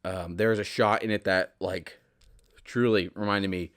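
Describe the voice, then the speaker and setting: A man's voice: low-pitched, steady tone, measured pace, smooth. A man in his twenties hosting a podcast, chatting casually and emphatically in a rambling solo monologue, repeating himself, with a slightly stuffy nose. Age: 20s. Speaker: podcast host.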